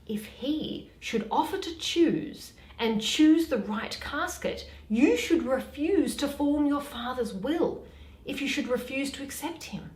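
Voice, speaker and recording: A woman's voice: sits high, lively intonation, slow, a little mellow. A woman playing a loyal, curious lady's maid in a theatrical Shakespearean reading, polite and coaxing. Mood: cautioning. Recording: roomy, echoey home recording.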